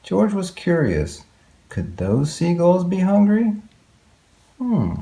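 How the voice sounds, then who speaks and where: A man's voice: sits high, wildly swooping pitch, slow, vocal fry, warm tone. A man reading a picture book aloud to young children, expressively, with exclamations and questions to his listeners. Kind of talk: read-aloud picture book narration with asides.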